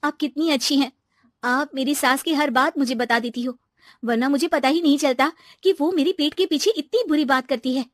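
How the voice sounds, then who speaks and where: A woman's voice: high-pitched, normal speed, no room sound, clean studio sound, lightly acted. A woman voicing an earnest, aggrieved young daughter-in-law in a cartoon. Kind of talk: animated cartoon dialogue in Hindi.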